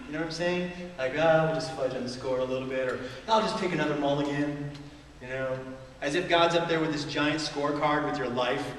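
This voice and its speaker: Middle-aged pastor preaching in an echoey hall. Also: male voice, a little high, steady tone, brisk, a bit bright, distant mic, slight background noise. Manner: conversational preaching with rhetorical questions and chuckles. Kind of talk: sermon. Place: church stage with a large screen. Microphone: far camera in the hall.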